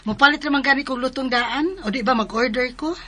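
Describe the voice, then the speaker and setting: Casting: flippant young girlfriend and manager, playful, high bright voice. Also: fast. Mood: teasing, casual. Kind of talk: radio drama acting.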